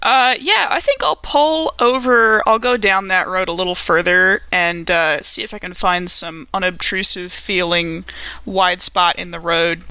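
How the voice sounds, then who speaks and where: A bright voice with plenty of fry, recorded dry. It is a woman playing a businesslike investigator in a tabletop game, precise and formal in wording. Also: lowish voice, unhurried, no room sound. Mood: focused, deliberate. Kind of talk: in-character tabletop roleplay.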